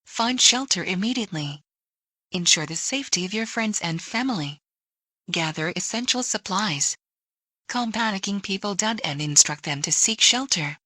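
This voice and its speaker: A woman's voice: mid-range voice, animated, moderate pace, sharp tone, dry recording. A woman announcing a scripted emergency alert, reading lists and instructions in a clipped, impersonal manner.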